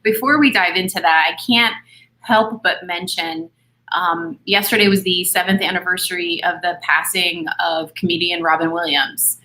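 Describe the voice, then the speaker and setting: Female series host, earnest and upbeat, slightly low voice with a bright edge. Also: fairly even intonation, normal speed, rough recording. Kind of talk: interview on a video series.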